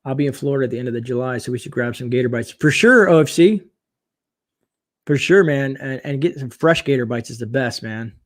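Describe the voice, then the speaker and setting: A man hosting a casual late-night livestream, chatting and reading viewer comments aloud, his voice getting hoarse. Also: mid-range voice, a little fast. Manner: chatty, reads viewer comments aloud, frequent fillers and laughs. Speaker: livestream host.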